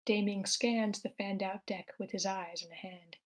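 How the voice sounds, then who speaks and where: A woman's voice: medium pitch, fairly even intonation, a little slow. A woman reading out scripted stage directions as the narrator at a screenplay table read.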